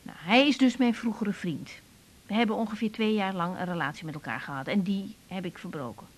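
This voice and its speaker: A woman's voice: medium pitch, fairly quick, close to an everyday voice. An actress playing a naive, confiding young woman doctor with emotional delivery in a 1980s radio drama, with a Netherlands Dutch accent. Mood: surprised, anxious, relieved.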